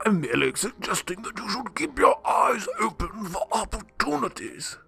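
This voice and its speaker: A man's voice: sits high, very animated, normal speed, creaky, music in the background, heavily acted. A man playing a dry, sardonic, loyal butler and mentor in a radio drama, speaking formally with wry admonishment. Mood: earnest admonishing.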